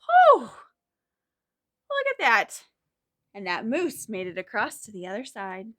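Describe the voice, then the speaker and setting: A woman's voice: a little high, wildly swooping pitch, takes it slowly, a bit bright. A woman hosting a storytime for young children, reading expressively and dramatically and putting questions to her listeners. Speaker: children's library storytime host. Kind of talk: animated picture-book reading and rhymes for young children.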